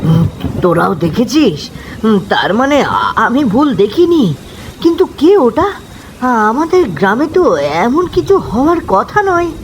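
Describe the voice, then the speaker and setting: Woman as stammering, frightened young villager, high-pitched. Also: big pitch swings, a little slow. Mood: shaken, puzzled. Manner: stammering, hesitant, shaken. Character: frightened young village man who saw a ghost. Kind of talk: dramatised cartoon ghost story. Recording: clear ambient noise behind.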